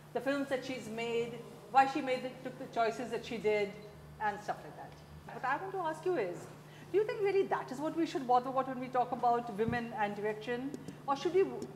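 A middle-aged woman with an Indian accent, hosting a conversational interview. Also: a little high. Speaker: interview host. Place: medium-sized indoor café-like room. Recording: echoey room with faint background noise.

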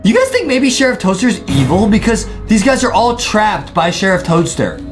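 Casting: clowning young American male gaming host, excitable, highly varied intonation over music. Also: normal speed. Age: young adult. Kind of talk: playful game commentary. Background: clear music.

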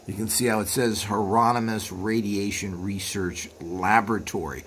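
Man, lowish pitch, informal explainer, rambling hands-on demo, handheld camera mic, noticeable background noise. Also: steady tone, normal speed, fairly bright tone. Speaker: host of a vintage equipment video channel. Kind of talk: informal hands-on product walkthrough. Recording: clear background noise, room ambience.

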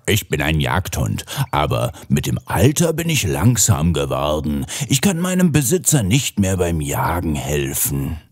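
Very deep, weary old dog. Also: male voice, huge pitch swings, measured pace, hardly any pauses, no room sound, clean studio sound, full character voice. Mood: resigned. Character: weary old hunting dog slowed by age. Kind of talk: children's fairy tale cartoon.

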